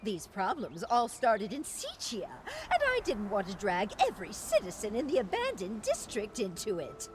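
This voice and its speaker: A woman's voice: mid-range voice, huge pitch swings, normal speed, hardly any pauses, noisy background. A woman voicing an elegant, sentimental former actress in video game story dialogue, with a dramatic, lilting storytelling manner. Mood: theatrical, confiding. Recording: background music under the voice.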